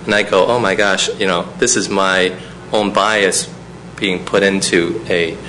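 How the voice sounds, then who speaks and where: A man's voice: sits low, fairly even intonation, unhurried, bright, forceful tone, a little hiss or hum, low-quality recording. Reflective man whose first language is Chinese. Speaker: panelist.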